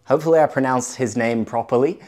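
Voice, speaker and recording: A man's voice: medium pitch, quick pace, a little mellow. A young man from Leeds, England, working as an English teacher and talking chattily to camera in a YouTube lesson, stressing many words.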